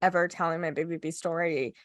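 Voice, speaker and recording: A woman's voice: low-pitched, steady tone, fast talker, no echo, clean studio sound. A young woman co-hosting a casual podcast, stuffy from a cold, chatting nervously with frequent laughs. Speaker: podcast co-host.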